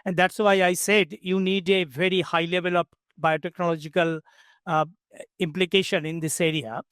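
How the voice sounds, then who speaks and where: High-voiced man explaining, halting delivery. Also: level tone, a bit bright, smooth, dry recording, clean studio sound. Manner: halting, emphatic repetition. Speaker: expert panelist. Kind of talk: explanatory webinar answers.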